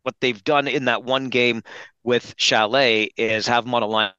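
A man with a crisp-edged, bright voice talks hockey as a guest analyst. He lays out his points in an articulate, measured way, at a middle pitch. The sound is dry and close, with no room ring. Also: steady tone, no echo, lo-fi sound. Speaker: hockey play-by-play broadcaster and guest commentator. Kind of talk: sports podcast interview analysis.

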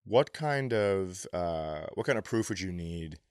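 A man with a low voice trades casual banter on a comedy podcast. He comes across as sardonic and blunt, teasing and swearing freely. He is recorded close and very dry. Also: animated, unhurried, a little mellow, clear, resonant tone, no room sound.

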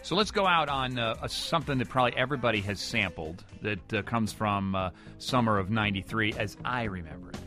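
A man's voice: on the low side, animated, fairly quick, hardly any pauses, bright, forceful tone. A man hosting a conversational music interview on the radio. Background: music playing behind the talk.

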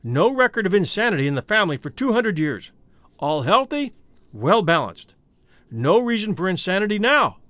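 A man's voice: high voice, very animated, normal speed, bright, forceful tone, no room sound, clean studio sound, full character voice. A man playing a frightened, tormented man in an audiobook who fights for his sanity with agitated, emphatic repetition. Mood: anxious reasoning.

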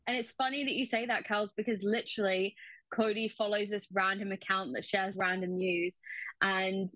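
A woman's voice: medium pitch, fairly even intonation, normal speed, fairly bright tone, no room sound, low-quality recording. A woman chatting casually through news items in an informal, self-deprecating, giggly way. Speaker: news segment contributor.

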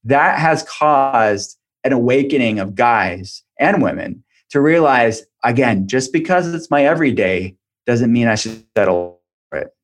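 Male podcast guest, medium pitch, informal expert explainer in relaxed conversational phrasing. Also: unhurried. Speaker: functional medicine doctor, podcast guest.

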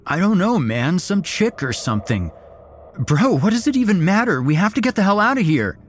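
A man voicing a terrified young man who stammers and shouts in panic. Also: mid-range voice, measured pace, slight background noise, voice pushed up, lightly acted. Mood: frantic.

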